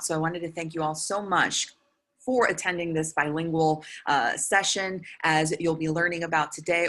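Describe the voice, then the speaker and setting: A woman meteorologist giving a prepared conference presentation, earnest and steady, with frequent filler sounds. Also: low voice.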